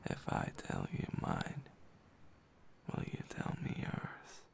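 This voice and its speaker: A man softly whispering the lines of a cheerful, forward young woman in a storybook reading. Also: slow, close to an everyday voice. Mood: tender. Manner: soft whispered delivery.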